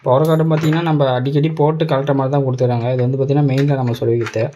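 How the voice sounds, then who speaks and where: A man's voice: medium pitch, level tone, racing. A man with a Tamil Nadu Tamil accent giving a casual product demo as a tech reviewer, explaining step by step in colloquial, repetitive phrases. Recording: clear background noise, clicks.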